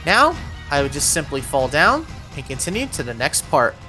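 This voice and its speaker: A woman narrates a video game guide with a lively, swinging pitch. She talks the player through each move in clear instructional steps, adding cautions as she goes. Music plays behind her voice. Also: moderate pace. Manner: addresses the player with step-by-step cautions. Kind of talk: voiced walkthrough commentary, instructional.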